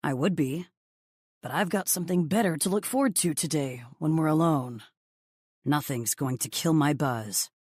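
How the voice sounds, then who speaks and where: A woman voicing a confident male billionaire boss in a romance audiobook, calm, deep and suggestive. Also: moderate pace, breathy edge, no room sound, clean studio sound. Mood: seductive.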